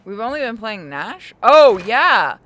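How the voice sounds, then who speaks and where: A woman's voice: fairly high pitch, big pitch swings, measured pace, a crowd in the background. A woman giving casual, blunt let's-play commentary while she plays a video game. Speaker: gaming commentator and player.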